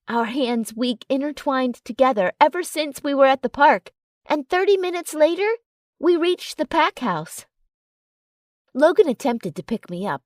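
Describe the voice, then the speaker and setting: Animated woman narrating a story, very dry sound. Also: sits high, no room sound. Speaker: audiobook narrator. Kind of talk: scripted first-person story narration.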